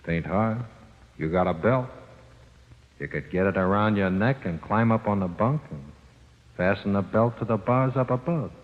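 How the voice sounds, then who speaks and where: An actor playing the sinister, coaxing ghost of a convict, slangy and matter-of-fact, in 1940s radio drama with a reverb effect. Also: male voice, on the low side, normal speed. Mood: coaxing, menacing. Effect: long ghostly reverb. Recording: very muffled old radio, long reverb.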